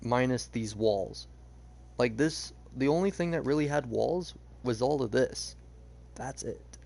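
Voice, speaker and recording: A young man chatting casually while he plays a game, rambling with lots of fillers, his voice a bit hoarse. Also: medium pitch, measured pace, a little mellow. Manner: rambling, hesitant, many fillers. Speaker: streamer. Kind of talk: casual gameplay chat.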